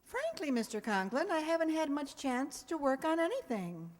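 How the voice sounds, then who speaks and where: A woman's voice: high voice, big pitch swings, normal speed, a little mellow. A woman acting in a radio sitcom as a wisecracking schoolteacher, with a dry, sardonic delivery. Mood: defensive, wry. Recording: echoey hall, long reverb.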